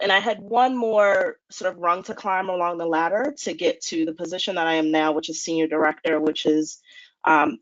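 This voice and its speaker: A woman's voice: on the low side, dry recording. A woman giving conversational interview answers as a guest and programme graduate. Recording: slightly dull, lo-fi line.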